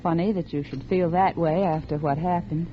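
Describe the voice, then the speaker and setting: A woman's voice: fairly low pitch, fairly even intonation, normal speed, close to an everyday voice. An actress playing a poised, guarded society woman with genteel manners in a 1940s radio drama. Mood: guarded, wistful. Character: poised society woman reuniting with an old flame. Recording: noisy muffled old radio recording.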